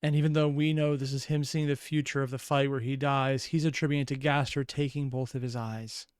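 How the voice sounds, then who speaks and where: A woman's voice: very deep voice, flat delivery, fairly quick, darkish tone, smooth, no echo, studio quality. A woman reacting to a video as host, musing aloud with analytical asides and questions. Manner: musing, questioning, sighing between remarks.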